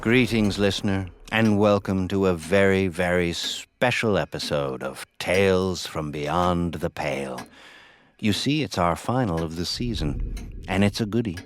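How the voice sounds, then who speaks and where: A man hosting an audio horror anthology, delivering a theatrical scripted introduction in a deliberate, playfully ominous manner. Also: low voice, a little hiss or hum. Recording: voice over prominent music.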